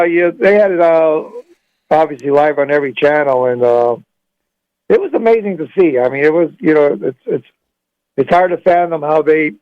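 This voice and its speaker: A man's voice: fairly high pitch, no room sound. A man calling in to a radio show, chatting casually in a hesitant, rambling way and repeating himself. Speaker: phone-in caller. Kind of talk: casual chat. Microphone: phone call. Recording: dull lo-fi radio call.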